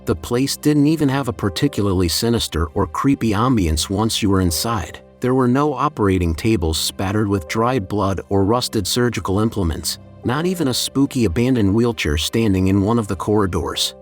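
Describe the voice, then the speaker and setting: A man with a fairly low voice reads a scripted creepypasta in the first person. His delivery is measured and deliberate, like a storyteller laying out each detail. Music plays clearly behind his narration. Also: lively intonation. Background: background music.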